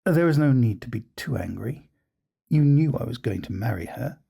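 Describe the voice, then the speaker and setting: A man playing a coldly ambitious aristocratic gentleman in an audio drama, speaking in a formal, suave, measured way. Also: medium pitch, lively intonation, vocal fry, background noise. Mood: amused, suave. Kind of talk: scripted audio roleplay drama.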